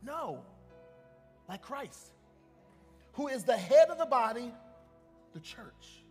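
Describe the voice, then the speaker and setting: A man's voice: extremely high pitch, sing-song, slow, big-room sound, faint music behind. A male pastor preaching a sermon in a church. He speaks emphatically, often restarting his sentences and calling out to the congregation. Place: church sanctuary.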